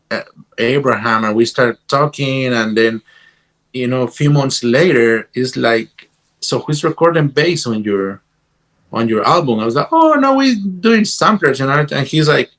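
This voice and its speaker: Man with a Guatemalan Spanish accent, halting delivery. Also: mid-range voice, very animated, a bit bright. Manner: halting, repeats words, peppered with "you know". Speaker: interview guest, guitarist and music teacher. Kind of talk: casual conversational storytelling.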